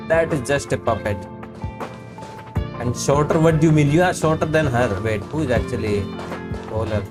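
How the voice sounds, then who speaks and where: A man's voice: medium pitch, moderate pace, long pauses. A man doing casual live game commentary in short offhand asides as he streams. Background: game music.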